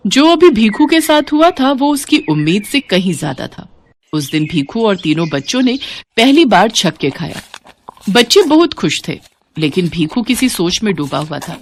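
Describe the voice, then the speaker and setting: A woman narrating a scripted children's story in an expressive storytelling style. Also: medium pitch, moderate pace, a little hiss or hum.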